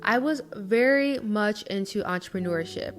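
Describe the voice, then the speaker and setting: A woman's voice: medium pitch, normal speed. Chatty young woman over guitar music. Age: early 20s. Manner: chatty and earnest, with lots of fillers like "you know". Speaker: lifestyle vlogger. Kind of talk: casual confessional talk to camera. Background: guitar music underneath.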